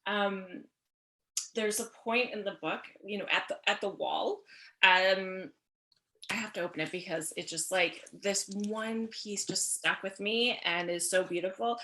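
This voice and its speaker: A woman's voice: mid-range voice, sharp tone, low-quality recording. A woman interviewer chatting informally about a book, feeling her way through questions in hesitant, self-interrupting phrases.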